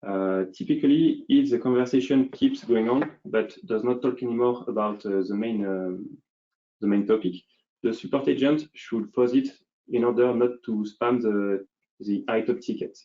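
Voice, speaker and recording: A man presenting a software demonstration in an unscripted, explanatory way, hesitantly thinking aloud as he goes. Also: medium pitch, moderate pace, dull audio.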